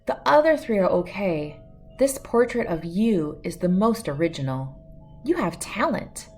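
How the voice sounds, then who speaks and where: A woman's voice: mid-range voice, big pitch swings, a little slow, darkish tone, slightly rough, faint music behind. A woman voicing an admiring, frank male painter in a storybook, giving approving appraisals. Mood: appraising.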